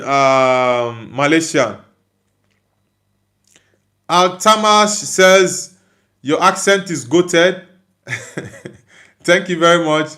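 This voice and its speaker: A man with a Nigerian accent speaks in a slightly high-pitched voice. He hosts a football livestream in a casual, conversational style, reading out comments and answering them. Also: pushed and bright.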